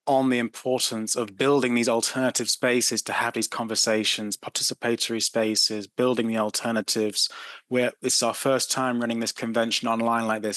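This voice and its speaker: A man's voice: mid-range voice, fairly bright tone, dry recording. A man hosting an online convention, inviting the audience in with loose, filler-laden speech. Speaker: host.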